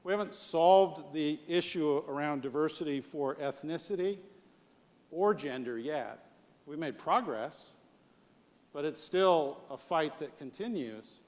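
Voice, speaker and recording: American male conference speaker, notably high voice, conversational delivery with checking questions, long hall reverb. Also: lively intonation, unhurried. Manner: conversational, rhetorical questions with "right?" tags. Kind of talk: live leadership presentation.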